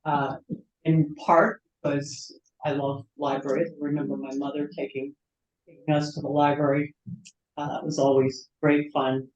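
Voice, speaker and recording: Older resident, halting, echoey distant audio. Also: medium pitch, little pitch movement, darkish tone. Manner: halting, reminiscing. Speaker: meeting attendee. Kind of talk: casual self-introduction. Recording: dull, echoey, far from mic.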